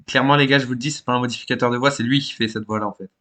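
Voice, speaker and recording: A man's voice: medium pitch, steady tone, rapid-fire, bright, forceful tone. A man casually commenting to his viewers as a game troll, with crude, slangy talk. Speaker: streamer trolling on a game server. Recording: noisy gaming voice-chat recording.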